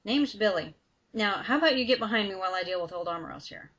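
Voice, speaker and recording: A woman voicing a huge, cocky teenage boy with a heavy Southern drawl, speaking loud and brash in a dull lo-fi recording. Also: fairly low pitch, fairly even intonation, moderate pace.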